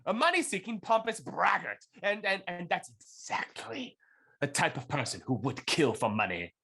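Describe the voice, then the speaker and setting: An actor playing a nervous, excitable young suitor in a period mystery radio play, with a stammering manner. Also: male voice, normal speed, creaky, husky, full character voice. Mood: frenzied accusation. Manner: stammering, high-strung.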